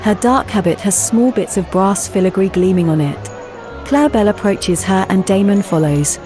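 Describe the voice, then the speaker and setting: A woman's voice: medium pitch, animated, normal speed. A woman reading scripted narration for a fantasy audio drama. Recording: music behind the voice.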